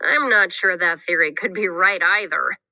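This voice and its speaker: A woman's voice: fairly low pitch, moderate pace, bright, forceful tone, no room sound, voice pushed down. A woman voicing a skeptical, inquisitive young boy in a scripted read-aloud for children, questioning everything with emphatic doubt.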